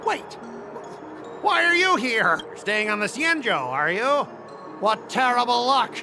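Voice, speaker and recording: A man's voice: very high voice, sing-song, a little slow, over music, exaggerated voice. A man voicing an arrogant, indignant corporate cargo officer in a video game, always ready to complain.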